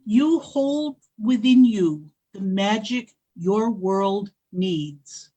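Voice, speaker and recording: A solemn, ageless female spirit from a radio play, played by a woman, who delivers each phrase with great deliberation. She speaks at a very unhurried crawl. Her voice sits at an ordinary middle pitch. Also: expressive, warm tone, low-quality recording. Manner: slow, solemn, measured pronouncements. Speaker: wise spirit. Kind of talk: 1940s-style radio drama acting.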